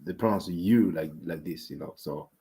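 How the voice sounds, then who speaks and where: A man with a French accent and a slightly deep, husky voice. He is a native French speaker who talks casually and politely, with some hesitation. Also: moderate pace, dark tone. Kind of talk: casual discussion.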